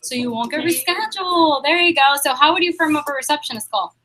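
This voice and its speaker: A young woman leading a sales training meeting, upbeat and quizzing the room with quick questions. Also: sits high, animated, a crowd in the background, low-quality recording.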